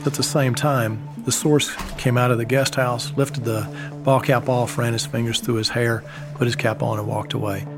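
A man at a middle pitch recalls a tense operation in a measured, storytelling way, pausing now and then on fillers. A faint music bed plays underneath him. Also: flat delivery. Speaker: former embassy security agent. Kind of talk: recounting events in an interview.

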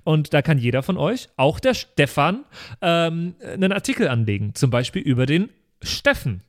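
A man's voice: on the high side, big pitch swings, moderate pace. A man chatting and improvising casually as a player on a tabletop role-playing show. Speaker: player in a pen-and-paper show.

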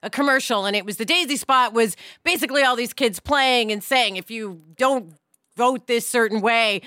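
A woman hosting a casual, reflective discussion in an expressive way, with animated asides. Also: fairly high pitch, normal speed, dry recording, clean studio sound. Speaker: podcast host.

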